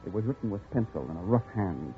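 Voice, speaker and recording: An actor playing a weary, desperate captive in an old-time radio drama, earnest and emphatic in both dialogue and narration. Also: male voice, lowish voice, animated, fast talker, faint music behind, natural voice. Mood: grim recounting. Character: desperate male captive of a jungle recluse, weary, pleading. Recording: tinny, band-limited vintage radio recording.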